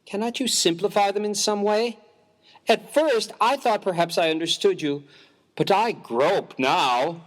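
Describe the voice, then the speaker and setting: A man's voice: sits high, a little slow. A man playing a prim, bookish, easily bewildered clergyman in a dramatized comic story, speaking formally and with pompous precision. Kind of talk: dramatized reading of a humorous story.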